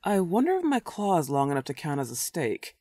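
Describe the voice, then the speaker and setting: A woman's voice: sits low, huge pitch swings, a little fast, warm tone, exaggerated voice. A woman playing a love-obsessed werewolf girl in a comedic roleplay audio drama, playful, taunting and cocky. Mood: wry. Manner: playful, taunting, swooping emphasis.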